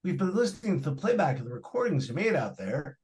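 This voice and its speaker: A man's voice: on the high side, sing-song, quick pace, darkish tone. A man playing an anxious, insistent questioner in old-time radio suspense style, urgent and stammering. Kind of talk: 1940s radio suspense acting.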